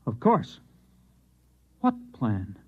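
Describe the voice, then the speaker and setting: An actor in a vintage radio drama playing an earnest, halting, bewildered husband who believes he is the last Martian. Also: mid-range voice, wildly swooping pitch, husky, exaggerated voice. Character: unstable, lonely husband, earnest self-declared last Martian. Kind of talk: 1950s radio drama acting. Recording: dull old radio recording.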